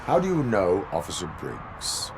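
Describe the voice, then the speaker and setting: An actor playing a stern cyborg police officer who speaks in clipped, formal, emotionless lines. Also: male voice, sits low, wildly swooping pitch, measured pace, background noise, exaggerated voice. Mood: flat.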